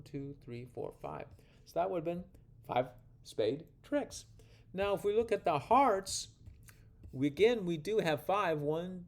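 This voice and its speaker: A man with a fairly high, gravelly voice talks through a card game lesson at an unhurried pace. He is folksy and playful, dropping in exclamations and wandering asides as he explains each step. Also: a little mellow. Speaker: card game instructor and presenter. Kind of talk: informal tutorial narration walking through card hands.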